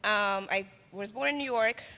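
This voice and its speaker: A woman's voice: mid-range voice, normal speed, pushed and bright. A woman whose first language is French, raised in Paris, speaking hesitantly with many fillers about her finance work on stage. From grew up in Paris, France. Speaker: finance professional, conference attendee. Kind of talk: on-stage conversation. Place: conference stage with audience. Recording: long hall reverb.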